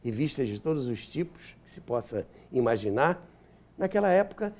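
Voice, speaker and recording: Male guest, late seventies, Brazilian. Mellow voice with very lively intonation, emphatic storyteller in conversation. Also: medium pitch, moderate pace. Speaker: interview guest, radio veteran and author. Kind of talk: conversational reminiscing interview.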